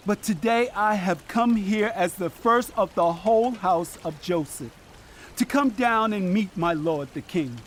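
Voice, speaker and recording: A man's voice: high voice, measured pace, a little hiss or hum. An actor playing a penitent subject, grovelling and pleading for a king's forgiveness in a dramatized audio Bible. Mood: abject, pleading. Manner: abject, pleading.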